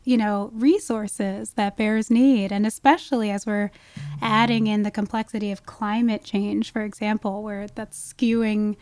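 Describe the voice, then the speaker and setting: A woman's voice: medium pitch, fairly even intonation, a little slow, darkish tone, no echo. A woman speaking as an expert guest in a conversational podcast interview, explaining things with emphasis. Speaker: guest, wildlife ecologist.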